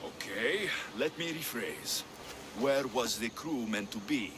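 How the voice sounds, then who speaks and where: An actor playing a confident, direct ancient Greek mercenary with a dry manner in a video game cutscene. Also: male voice, mid-range voice, lively intonation, slow pace, background noise. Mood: probing.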